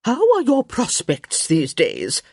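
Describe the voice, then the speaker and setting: Woman doing a bossy old countess, blunt and dramatic, kinda husky, dry close sound. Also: fairly high pitch, huge pitch swings, slow, no echo, voice pushed up, exaggerated voice. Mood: prying. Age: elderly. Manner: sharp, imperious, blunt.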